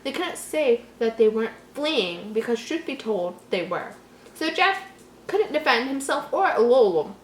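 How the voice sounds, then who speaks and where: A woman's voice: fairly high pitch, sing-song, a little slow. A woman reading a horror story aloud and breaking in with sarcastic, exasperated commentary. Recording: roomy echo, faint background noise.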